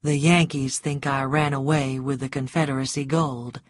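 A woman voicing a mocking, self-assured man in an audiobook story, blunt, deliberate and teasing. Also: extremely low pitch, fairly even intonation, no room sound, clean studio sound. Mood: amused, teasing.

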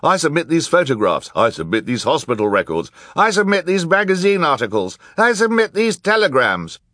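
A man's voice: a little high, huge pitch swings, normal speed, a bit bright, no room sound, studio quality, voice pushed up. A man voicing eager, insistent courtroom witnesses in an audiobook, each proclaiming formally. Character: crowd of eager witnesses submitting evidence, exclamatory. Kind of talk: audiobook character acting.

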